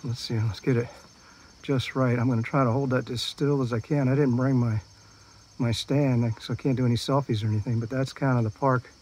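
A man's voice: medium pitch, steady tone, brisk, a little mellow, a little hiss or hum. Middle-aged man, casual phone-camera walk-and-talk in a park; folksy, rambling, restarts often. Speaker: park walk-through vlogger. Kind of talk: casual walking commentary. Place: outdoor city park trail. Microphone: handheld phone camera.

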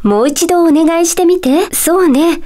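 Kindly polite lady magic teacher from a video game, really high voice, calm n composed. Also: moderate pace. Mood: gently musing. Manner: polite, soft feminine phrasing, composed and kindly. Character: gentle, polite female magic-school teacher with a mysterious calm. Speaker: teacher character in a puzzle game. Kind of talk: Japanese video game character dialogue.

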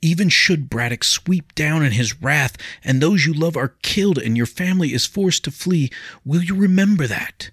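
A brooding young nobleman in a storybook tale, heated and bitter with remorse , as a man acts him out. The voice is very deep and gravelly with a husky edge, close and dry. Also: expressive, moderate pace, a bit bright, dry recording, heavily acted. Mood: pleading.

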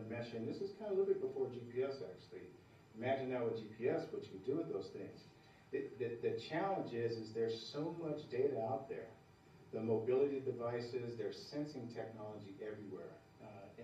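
A man's voice: fairly low pitch, warm tone, a little hiss or hum. A middle-aged man at a podium giving a conversational keynote speech, often repeating words as he goes. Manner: conversational, repeats words, gestures while speaking. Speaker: keynote speaker. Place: large dark event hall, at a podium. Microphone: podium mic, recorded from afar. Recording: roomy hall sound from far off, dull.

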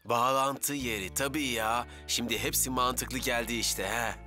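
Eager, bumbling young firefighter. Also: male voice, mid-range voice, fairly even intonation, a little slow, sharp tone. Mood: earnest. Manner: hesitant, flustered, earnest. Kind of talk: animated cartoon dub acting. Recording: music under the dialogue.

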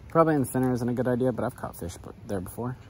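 A man's voice: medium pitch, rapid-fire, slight background noise, poor audio. A young man vlogging while he fishes from a backyard dock, chatting loosely with lots of fillers, recorded on a head-mounted camera. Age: young adult. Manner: rambling, laid-back, loose with fillers. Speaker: fishing vlogger. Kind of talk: casual running commentary while fishing. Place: outdoors on a backyard dock by a canal.

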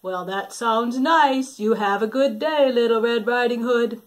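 A woman's voice: on the high side, measured pace, natural voice. A woman voicing a sly big bad wolf who sweet-talks a little girl in a storybook reading. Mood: coaxing. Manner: falsely friendly, coaxing.

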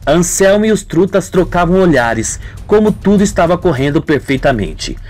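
A man's voice: high voice, very animated, moderate pace, darkish tone. A man reading scripted radio soap narration in a melodramatic manner.

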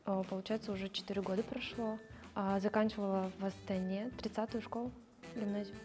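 A woman's voice: mid-range voice, little pitch movement, fairly quick, over music. A woman in her twenties answering interview questions thoughtfully and with some hesitation, wearing a lapel mic. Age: 20s. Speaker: show participant. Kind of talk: casual interview. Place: studio with grey backdrop.

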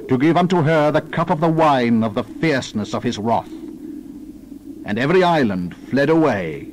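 A man giving a solemn, theatrical dramatized reading of scripture as a narrator, with deliberate emphasis. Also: mid-range voice, slight background noise, low-quality recording. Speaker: Bible narrator.

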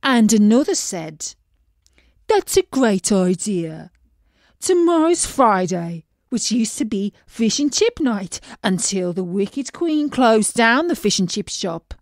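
A woman's voice: medium pitch, big pitch swings, slow, no echo, studio quality. A woman voicing a glum, plain male pond fish in a storybook reading, speaking in a mournful, heavy way. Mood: gloomy.

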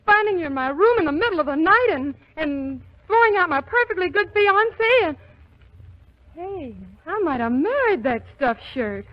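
An actress playing a flustered, excitable young fiancée in a 1940s radio comedy, with stammering speech. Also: female voice, very high-pitched, moderate pace. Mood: indignant. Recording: muffled 1940s radio recording.

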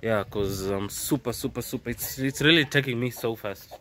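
A man's voice: medium pitch, moderate pace, fairly bright tone, a little hiss or hum. A man in his thirties with a Kenyan accent, talking casually to his phone camera while he has a cold, mixing Swahili and English. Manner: mixes Swahili and English, chatty. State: congested with a cold. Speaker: vlogger. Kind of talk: casual vlog talk to camera. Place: outdoors in a rural yard and indoors kitchen. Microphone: phone at arm's length.

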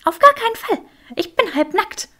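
A clever young witch in an audiobook story, acted out by a woman narrator. She is pitched high, curt and stubborn, with a snappish, exasperated edge. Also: big pitch swings, normal speed, exaggerated voice. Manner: curt, sharp-tongued.

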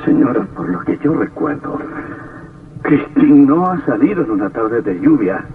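An actor playing a polite, earnest young gentleman suitor in vintage radio melodrama, formal in his speech. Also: medium pitch, big pitch swings, normal speed, breathy edge. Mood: defensive, earnest. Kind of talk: vintage radio drama acting. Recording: tinny, distant old radio with music.

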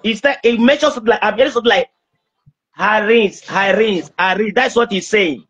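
A young man speaking as a prophet praying over someone on a video call, insistent, halting and repeating himself. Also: high voice, fairly bright tone, slightly rough, lo-fi sound. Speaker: preacher, self-styled prophet. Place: small indoor room. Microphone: phone at arm's length on video call.

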